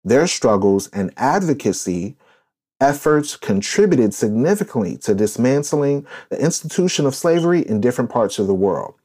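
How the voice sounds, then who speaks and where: A man's voice: mid-range voice, sing-song, normal speed, mellow, husky. A man hosting a podcast discussion, speaking in an emphatic, preachy, declarative way. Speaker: podcast host.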